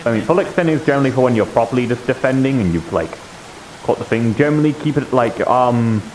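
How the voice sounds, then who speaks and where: A man's voice: mid-range voice, expressive, quick pace, darkish tone, natural voice, poor audio. A man playing a patient, one-eyed shield fighter who explains and cautions, in improvised fantasy roleplay. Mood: patient, instructive.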